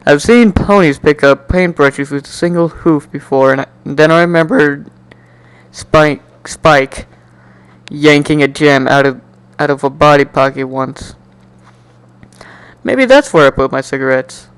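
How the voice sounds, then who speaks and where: A man doing an amateur dramatic reading of a first-person story in an animated, jokey way, now and then stumbling and repeating words. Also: a little high, unhurried, smooth, no echo. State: coughing, slightly sick. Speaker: amateur fan fiction reader.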